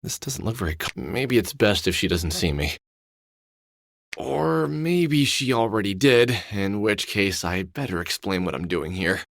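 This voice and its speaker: A man's voice: lowish voice, lively intonation, a little slow, gravelly, dry recording, studio quality. A man voicing a wry, protective middle-aged adventure game hero who often mutters expressively to himself. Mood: wry, curious. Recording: background music under the voice.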